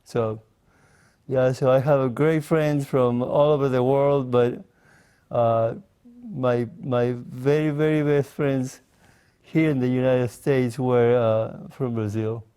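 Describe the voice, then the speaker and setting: A man in his forties from Mexico, speaking English as an interview guest, in a slow, halting way with many pauses and fillers. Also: mid-range voice, fairly even intonation, dark tone, clear, resonant tone. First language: Spanish. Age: 40s. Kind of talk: casual interview conversation.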